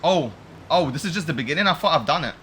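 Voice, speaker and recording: Bright-voiced young-sounding man, joking casual commentary. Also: on the high side, lively intonation, fast talker, a little hiss or hum. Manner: slangy, joking, profane. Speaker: game streamer. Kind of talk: casual playthrough commentary, reading game dialogue aloud.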